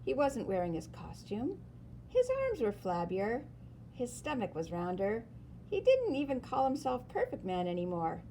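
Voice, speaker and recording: A woman reads a picture-book story aloud with swooping, lively intonation. Her voice is mellow and on the high side. She leans on key words and pauses for drama. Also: a little hiss or hum, low-quality recording. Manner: animated, dramatic emphasis and pauses. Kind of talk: expressive children's story read-aloud.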